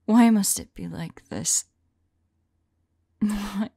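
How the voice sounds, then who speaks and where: Woman acting a hushed, husky, heartbroken mage. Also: mid-range voice, no room sound, heavily acted. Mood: desperate, grieving. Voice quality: soft, breathy, husky. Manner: hushed, halting, mournful, intimate. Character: anguished lovelorn woman mage recording journal entries about cursed lover. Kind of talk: slow dramatic monologue, ASMR audio roleplay.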